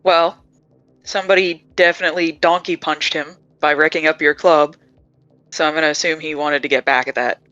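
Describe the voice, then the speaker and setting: A player voicing a sardonic male vampire in a tabletop game, joking with a quick wit. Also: mid-range voice, steady tone, pushed and bright, no echo, lightly acted. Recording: dull lo-fi sound.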